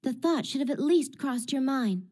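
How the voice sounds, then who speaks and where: A woman playing a sharp, pragmatic teenage girl in an English anime dub, explaining in a crisp, self-assured, matter-of-fact way. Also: high-pitched, lively intonation, normal speed. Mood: cool, probing. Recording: loud music bed under dialogue.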